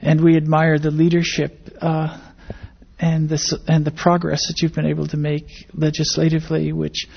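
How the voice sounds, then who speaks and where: A woman's voice: low-pitched, monotone, normal speed, gravelly, breathy edge. An older American woman answering interview questions in a thoughtful, hesitant conversational way, often repeating words as the thought forms. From grew up in Indonesia and the Philippines, American-born. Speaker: interviewee, academic biologist. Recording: dull, muffled lo-fi interview recording.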